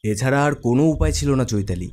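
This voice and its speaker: Casting: cocky young tough guy played by a man, impatient swagger, very lively intonation, horror cartoon. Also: mid-range voice, fast, natural voice. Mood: coaxing. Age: young adult. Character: cocky, impatient young street thug and deceitful lover. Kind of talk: animated horror cartoon dialogue.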